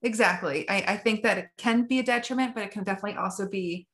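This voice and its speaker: A woman's voice: mid-range voice, fast talker, fairly bright tone. A woman in her thirties, a podcast guest, talking in an upbeat, encouraging, conversational way. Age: 30s. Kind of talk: casual interview chat.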